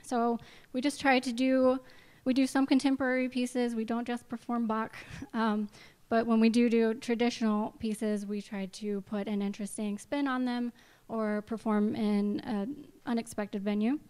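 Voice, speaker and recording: A woman presents a project pitch for a non-profit in an informal way. Her voice is smooth and clear, sitting a bit high for a woman. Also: little pitch movement, moderate pace, darkish tone.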